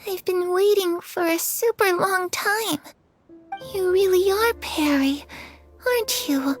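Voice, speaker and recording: A woman voicing a gentle, trusting young girl in a video game, earnest and softly wistful. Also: extremely high pitch, faint music behind. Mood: puzzled, childlike. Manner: soft, unhurried, earnest. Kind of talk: dramatic video game acting.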